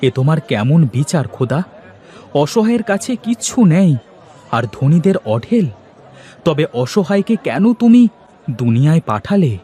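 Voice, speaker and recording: A man's voice: a little high, sing-song, moderate pace, dark tone, husky. A man voicing a poor, weary village basket weaver and father in a fairy-tale cartoon, lamenting and pleading as he speaks.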